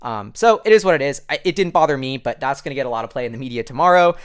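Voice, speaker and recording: Fast-talking American man, casual commentary. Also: medium pitch, expressive, clean tone. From United States. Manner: informal, rambling, heavy use of "like" and "you know". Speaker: online show host and commentator.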